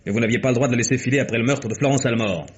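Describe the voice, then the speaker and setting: An actor playing a cool, sardonic private detective in a French radio crime drama, speaking in a dry, measured, needling way. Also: male voice, medium pitch, lightly acted. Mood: dry, insistent. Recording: dull, faintly noisy old radio recording.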